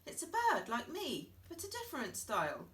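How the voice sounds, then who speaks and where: A woman's voice: high-pitched, wildly swooping pitch, moderate pace, a bit bright, echoey room, far from the mic, pitched above the performer's own voice, full character voice. A woman voicing a friendly, confident duck in a children's rhyming story.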